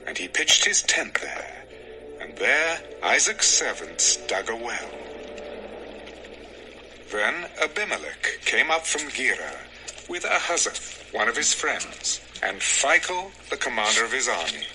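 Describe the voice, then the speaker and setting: A man narrating a dramatized scripture recording in a measured, expressive storytelling manner. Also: medium pitch. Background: music.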